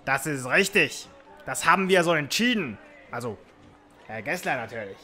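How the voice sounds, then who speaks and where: A man's voice: a little high, lively intonation, normal speed, sharp tone. An actor playing an officious, scolding knight who serves as henchman in a comic audio play. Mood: snide. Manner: snide, prim, scolding. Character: officious, snide male knight, henchman to an overlord.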